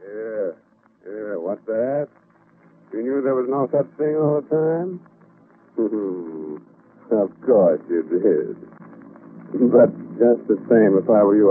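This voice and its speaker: A man's voice: on the low side, phone-quality sound. A man hosting a 1940s radio mystery show in a teasing, mock-sinister, chuckling manner. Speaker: horror show host. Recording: thin, noisy vintage radio recording.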